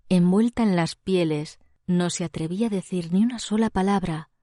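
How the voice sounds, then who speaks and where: A woman narrating a literary tale as a storyteller, in a measured, dramatic scripted delivery. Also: lowish voice, a little mellow, no room sound, studio quality.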